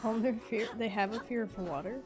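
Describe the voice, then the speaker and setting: A woman's voice: fairly high pitch, a little fast, over music. A woman streaming a game, giving casual, offhand and playful commentary as she plays.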